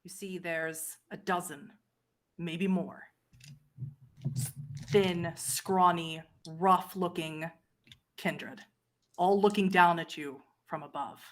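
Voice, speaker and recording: A woman working as game master, narrating a tabletop horror roleplay game in a measured way and directing the players. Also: fairly low pitch, steady tone. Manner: measured, directing players through scenes and rolls.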